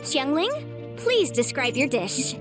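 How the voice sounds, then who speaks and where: Game contest host, high lively voice, formal n courteous, faint music. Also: female voice, slow pace, full character voice. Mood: ceremonious. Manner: formal, ceremonious, bright announcing. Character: brisk, courteous young female contest announcer. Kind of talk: video game voice acting.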